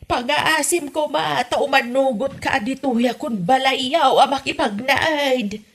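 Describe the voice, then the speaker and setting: Woman acting a high, husky stingy mother-in-law. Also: a little slow, fairly bright tone, full character voice. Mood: complaining. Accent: Ilocano. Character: stingy, meddling mother-in-law, bossy Ilocano matriarch. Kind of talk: comedy audio drama acting.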